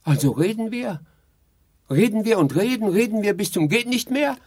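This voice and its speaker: A man's voice: high voice, lively intonation, moderate pace, a little mellow. An actor playing an exasperated, impatient man trapped in the afterlife in a radio drama, speaking emphatically. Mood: despairing, defiant. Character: exasperated newly dead man trapped with his tedious companion. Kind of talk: theatrical radio drama dialogue.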